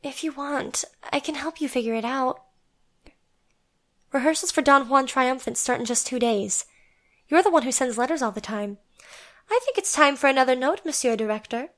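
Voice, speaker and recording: Bright, high-voiced headstrong young singer, a woman acting. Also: moderate pace. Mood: soothing. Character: headstrong young female opera singer, defiant yet caring. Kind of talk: amateur audio drama acting.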